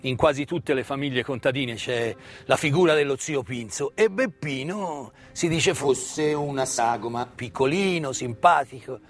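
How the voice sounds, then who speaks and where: A man delivering scripted theatrical narration of history as a stage storyteller, in a measured way with deliberate pauses. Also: medium pitch. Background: live instrumental music.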